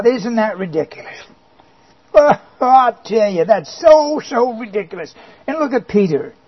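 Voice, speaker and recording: A man's voice: high-pitched, slightly rough, full character voice. A man doing a sly, sneering devil. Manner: wheedling, sneering, boastful, with chuckles. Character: sly boastful male devil, mocking and coaxing. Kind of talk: comic monologue performance. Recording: dull lo-fi recording.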